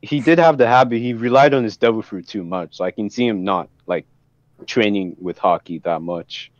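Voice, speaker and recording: A man's voice: on the low side, moderate pace, no room sound. A man in a casual, slangy fan debate over online voice chat, swearing freely. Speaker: participant in an anime fan group discussion. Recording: muffled lo-fi voice chat.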